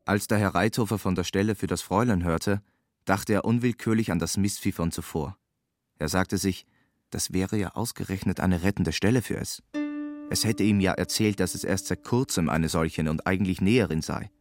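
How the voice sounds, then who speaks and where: A man's voice: low voice, normal speed, dry recording. A man narrates a literary story, relaying what its characters say. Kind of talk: literary narration in reported speech. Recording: music behind the voice. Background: music.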